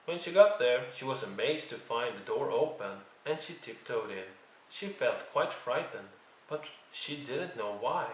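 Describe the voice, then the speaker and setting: A man narrating a children's read-along story in an expressive storytelling style. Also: mid-range voice, a little slow, slight background noise. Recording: roomy, distant microphone.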